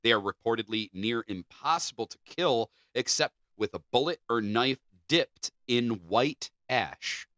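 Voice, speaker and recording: A man's voice: mid-range voice, animated, measured pace, hardly any pauses, bright, forceful tone, no room sound, studio quality. A man co-hosting a podcast, moving between reading scripted narration and informal chat. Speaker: podcast co-host.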